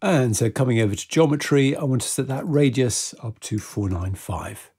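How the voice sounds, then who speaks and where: A man presenting a software tutorial step by step in an informal, measured, instructional way. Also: mid-range voice, breathy edge. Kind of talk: software tutorial, step-by-step screen-recorded walkthrough.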